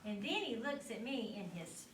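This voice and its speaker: An expressive woman reads a picture book to young children in a teacherly way, prompting them with questions. Her delivery swings widely in pitch. The recording is echoey and distant, with clear noise behind her. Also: moderate pace. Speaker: storyteller reading to children. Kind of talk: animated picture-book read-aloud with questions to young listeners. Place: echoey indoor room at a school literacy event. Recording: roomy, distant mic with clear background noise.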